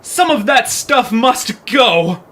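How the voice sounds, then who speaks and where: An actor voicing a hungry, impatient pizza troll who whines and shouts complaints in an audio drama. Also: medium pitch, animated, slow, noisy background. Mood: impatient, angry. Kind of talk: fan audio drama acting. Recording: music behind the voice.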